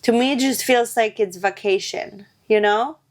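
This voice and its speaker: A young woman vlogger in casual chat, chatty and often laughing, with drawn-out filler words. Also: a little high. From Poland.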